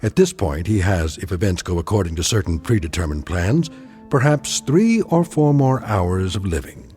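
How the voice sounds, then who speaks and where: Radio drama narrator: man, low mellow voice, portentous and ominous, dramatic swoops. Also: lively intonation, moderate pace, faint music behind.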